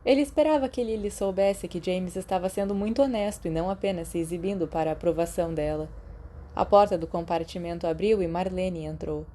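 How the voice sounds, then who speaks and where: Mellow Brazilian woman narrating fiction. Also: fairly low pitch, fairly quick. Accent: Brazilian Portuguese. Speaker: podfic narrator. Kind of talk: scripted fiction narration with acted dialogue.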